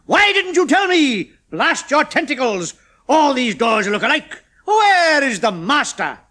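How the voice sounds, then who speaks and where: Man voicing a snappy alien chemist, high, bright, barking orders, storybook reading. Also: animated, normal speed, heavily acted. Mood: irritable. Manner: snapping, shouting. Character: shrill bossy male alien chemist.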